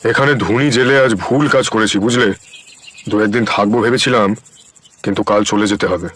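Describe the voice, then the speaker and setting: An actor playing a kindly, wise wandering ascetic in an audio story drama, speaking in a gentle, earnest, philosophical manner. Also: male voice, on the low side, fairly even intonation, normal speed. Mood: gently earnest. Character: wandering holy man, kindly, wise, plain-spoken ascetic.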